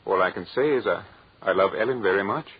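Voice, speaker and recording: An actor playing a decent young lover, polite, earnest and a little hesitant, in an old-time radio drama. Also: male voice, fairly low pitch, fast talker, breathy edge. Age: young adult. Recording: muffled old radio broadcast.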